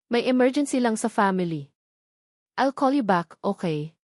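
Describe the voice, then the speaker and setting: A woman's voice: on the high side, lively intonation, moderate pace, a little mellow, no echo, clean studio sound, natural voice. A woman voicing an evasive young socialite in a story, hesitant and apologetic, mixing languages.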